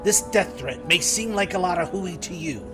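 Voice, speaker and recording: Actor as hard-boiled detective, fairly high male voice, lively intonation, urgent, old-time radio, music behind. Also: moderate pace. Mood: urgent warning. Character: male homicide police inspector, urgent, hard-boiled, earnest. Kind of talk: 1930s radio drama acting.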